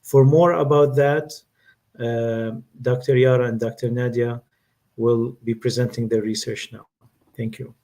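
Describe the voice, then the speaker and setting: A man at a middle pitch introduces guests formally on a video call. He talks in an earnest, measured way with frequent pauses. The sound is that of a home computer mic. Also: level tone, dark tone. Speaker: webinar moderator.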